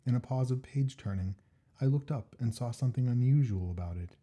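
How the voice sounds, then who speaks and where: Husky, mellow male story reader. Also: medium pitch. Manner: measured, expressive, deliberate phrasing. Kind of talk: scripted narration of a classic ghost story.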